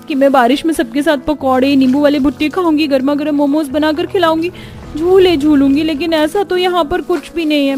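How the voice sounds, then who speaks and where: A woman voicing a gentle, dutiful young daughter-in-law in a cartoon story, earnest and pleading. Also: high voice, voice pushed up, lightly acted. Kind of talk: Hindi cartoon story dialogue.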